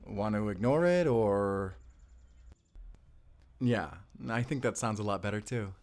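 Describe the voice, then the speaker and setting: A man playing an affectionate, playful and flirty love interest in an intimate audio roleplay, with a gently teasing manner. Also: fairly low pitch, a little slow, close to an everyday voice. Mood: hesitant, considerate. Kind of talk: intimate audio roleplay acting addressed to the listener.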